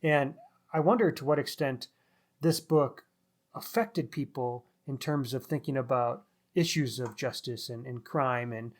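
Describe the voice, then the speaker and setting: A man co-hosting a book discussion in a casual, musing, conversational way. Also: mid-range voice. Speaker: podcast co-host reviewing crime novels.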